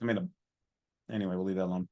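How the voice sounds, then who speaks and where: A man's voice: deep voice, flat delivery, fast talker, a little mellow, dull audio. A man delivering informal, off-the-cuff commentary as a channel host, with a colloquial, opinionated way of talking.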